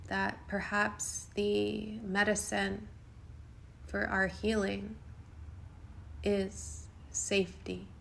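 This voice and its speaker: Woman, meditation guide, extremely slow delivery, gravelly creak, soothing, long pauses, some background noise. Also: medium pitch, little pitch movement, a bit bright. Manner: unhurried, soothing, short phrases with long pauses. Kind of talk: guided yoga nidra meditation.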